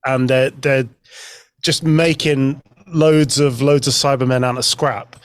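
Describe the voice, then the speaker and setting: A man's voice: mid-range voice, fairly even intonation, unhurried, smooth. A man speaking as a podcast guest, explaining details in an informal, conversational style. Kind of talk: informal fan discussion.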